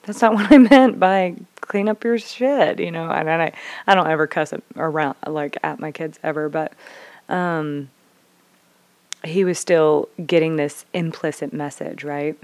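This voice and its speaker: Woman, 30s, low voice, dry close sound. Candid and expressive storyteller who curses casually, whispers, then bursts out. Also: a little slow, no room sound. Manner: expressive, swears freely, acts out dialogue with big swings from whispers to bursts. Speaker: mother sharing parenting stories.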